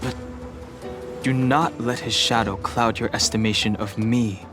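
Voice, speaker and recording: A man's voice: fairly low pitch, steady tone, a little slow, lightly acted. An actor playing a proud, reserved young male exile in a fantasy game, speaking seriously and tersely. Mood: guarded, resolute. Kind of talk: dramatic scripted game dialogue. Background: background music.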